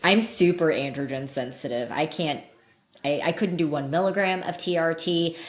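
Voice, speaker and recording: A woman with a slightly deep voice, speaking as an expert guest in a candid, informal, emphatic way, explaining things in an interview conversation. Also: normal speed. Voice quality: slightly deep voice that cracks when loud. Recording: roomy echo.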